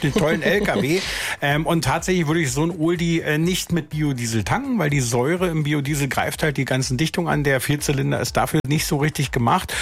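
Husky-voiced man giving radio advice. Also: fairly high pitch. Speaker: radio car expert answering callers. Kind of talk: casual spoken advice.

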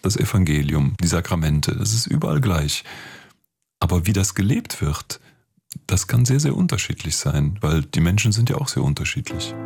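A man's voice: low voice, expressive, normal speed, gravelly, a little mellow, slightly rough. A man, a clergyman, explaining things in an interview in a plain-spoken, colloquial way.

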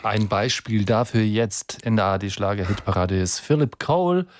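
A man's voice: fairly low pitch, animated, normal speed. Upbeat male chart-show host. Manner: upbeat presenter patter. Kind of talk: chart show presentation and interview.

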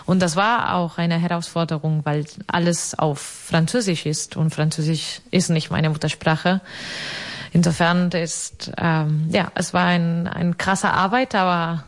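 A woman with a Catalan accent speaks slowly, hunting for words in a language that is not her own. Her voice sits somewhat low. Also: fairly even intonation. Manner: slow, searching for words. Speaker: opera singer. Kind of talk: interview answers.

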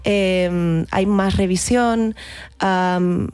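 A woman in her thirties from Barcelona whose Spanish mixes Catalan and Madrid accents. She talks reflectively as an interview guest, hesitating and circling back as she looks for the right words. Her pitch sits in an ordinary middle range. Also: fairly even intonation, very slow, a little mellow, clear, resonant tone. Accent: mixed Catalan and Madrid Spanish. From Spain (Barcelona). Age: 30s. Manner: thoughtful, searching for words with frequent hesitations. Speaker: actress guest.

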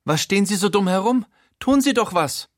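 A man's voice: very animated, unhurried, pushed and bright, dry recording, clean studio sound, exaggerated voice. A man voicing a haughty, easily offended wealthy woman in an audiobook, formal and indignant. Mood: shrieking. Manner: formal, offended, shrill when upset.

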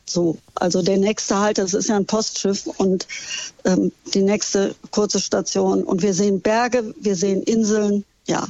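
A woman from Germany, a tour guide calling in from a ship, talking in a friendly, slightly halting way with many fillers. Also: mid-range voice, fairly even intonation, warm tone, no echo, dull audio. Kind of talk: casual phone-in chat. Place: on a ship at sea.

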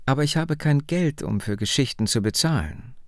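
A man's voice: mid-range voice, animated, moderate pace, no room sound, clean studio sound, pitched above the performer's own voice, natural voice. A male narrator voicing a polite, mildly sceptical interviewer in his own near-usual voice. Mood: amiable.

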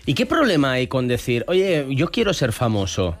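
A man's voice: medium pitch, huge pitch swings, normal speed. A man with an accent from Spain chatting as a talk show host, opinionated and emphatic. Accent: Spain Spanish. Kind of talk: casual panel chat.

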